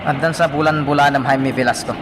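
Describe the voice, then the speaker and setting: Actor as a high-voiced, fast rebel leader, Ilocano. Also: male voice, fairly even intonation, natural voice. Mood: exasperated, scolding. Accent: Filipino, Ilocano. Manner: scolding, urgent. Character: exasperated, commanding male leader of armed rebels. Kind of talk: radio drama acting. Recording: noisy outdoor and vehicle ambience. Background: vehicle and outdoor noise.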